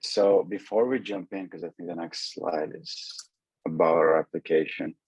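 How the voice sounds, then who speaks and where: A man from Brazil with a very low, husky voice explains things to an audience in an online presentation. His pitch stays flat, and he restarts his sentences often. The sound is dry and close. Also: moderate pace, no echo, dull audio. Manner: explanatory, with frequent restarts and fillers. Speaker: medical student and mentor presenting. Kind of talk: webinar presentation.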